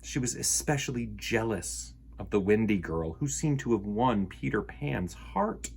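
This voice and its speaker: A man telling a children's story in an animated, theatrical way, acting out the sound words. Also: on the low side, a little slow, creaky, slightly rough.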